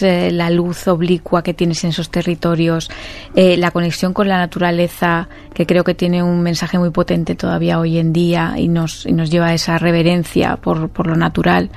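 A woman's voice: fairly low pitch, level tone, moderate pace, creaky, a little mellow. A woman from Madrid, a novelist, answering radio interview questions with many hesitations and fillers. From Madrid, Spain. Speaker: novelist guest. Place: radio studio.

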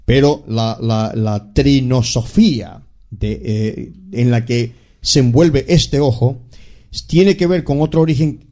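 A man's voice: mid-range voice, takes it slowly, warm tone. A man with a Latin American Spanish accent, speaking as a guest expert on a talk show, lecturing emphatically and often repeating words as he restarts.

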